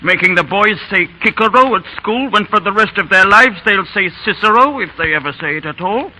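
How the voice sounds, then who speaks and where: Indignant old english schoolmaster, high piercing voice, querulous and donnish, old radio drama. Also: male voice, animated, fairly quick, bright, forceful tone, full character voice. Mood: stubborn, indignant. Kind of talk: 1930s radio acting. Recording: noisy old 1930s radio broadcast.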